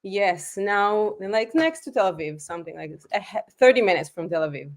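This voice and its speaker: A woman's voice: medium pitch, lively intonation, a little fast. Woman from Israel, brief casual answers. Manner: short, simple answers. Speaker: co-host. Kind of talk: casual live-stream chat.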